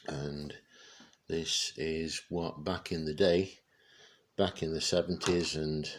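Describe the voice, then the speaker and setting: A man giving a casual, unscripted product demonstration, speaking haltingly as he searches for words. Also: rumbling low. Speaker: presenter showing vintage radio gear.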